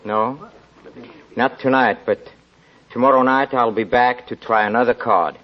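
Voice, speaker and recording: Intense, halting young officer in vintage radio drama male actor. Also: medium pitch, fairly even intonation, very noisy, natural voice. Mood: eager, confident. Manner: halting, stammering, fervent. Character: obsessed, nervous young army officer turned gambler. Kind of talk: 1940s radio drama acting. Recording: dull, band-limited 1940s radio recording.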